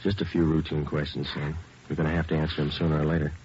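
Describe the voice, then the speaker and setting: An actor playing a terse, matter-of-fact police detective sergeant in a 1940s radio drama, speaking in a clipped, flat manner. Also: male voice, extremely low pitch, quick pace. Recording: hissy muffled old radio broadcast.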